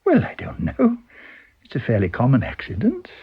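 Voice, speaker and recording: A man's voice: mid-range voice, wildly swooping pitch, moderate pace, husky, narrow, tinny audio, heavily acted. A man voicing a mysterious, chatty male stranger who is breezy, probing and insinuating in a dramatised story reading. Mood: breezy, gossipy.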